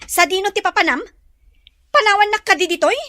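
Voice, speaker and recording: Very high plaintive young wife. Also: female voice, fairly quick, pushed and bright. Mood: reproachful, hurt. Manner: plaintive, reproachful. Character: hurt, pleading young pregnant wife. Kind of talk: radio drama acting.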